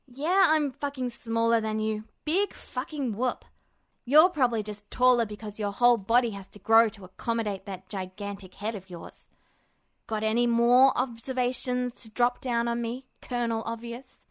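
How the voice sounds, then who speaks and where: Audiobook role: brash, agitated young man voiced by a woman, high-pitched, emphatic, close dry sound. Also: moderate pace, no echo, natural voice. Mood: indignant. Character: hot-tempered, defensive short young man, brash and foul-mouthed. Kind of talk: audiobook character voice.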